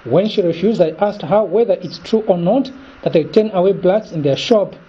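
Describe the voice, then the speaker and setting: Guy reporting the news, husky highish voice, formal, kinda muffled audio. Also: normal speed. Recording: dull lo-fi sound.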